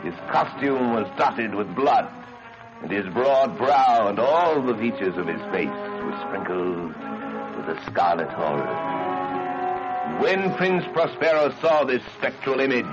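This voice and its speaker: Deep-voiced theatrical man narrating gothic horror. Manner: theatrical, swelling from hushed near-whisper to declaiming. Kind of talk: dramatic scripted horror narration, old-time radio manner. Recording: dull, band-limited radio sound under loud music. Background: loud music.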